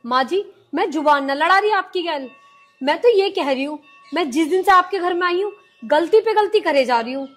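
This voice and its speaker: A woman playing a patient young daughter-in-law in a family drama skit, pleading and soft-spoken. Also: extremely high pitch, expressive, normal speed, soft background music.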